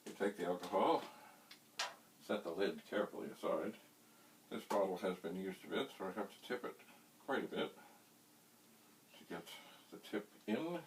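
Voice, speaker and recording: A middle-aged man giving an unscripted hands-on demonstration, speaking in deliberate, broken phrases with quaint, slightly theatrical wording, recorded on a distant mic. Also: deep voice, animated. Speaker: vintage computer hobbyist presenting a how-to vlog. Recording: distant mic, faint background hiss.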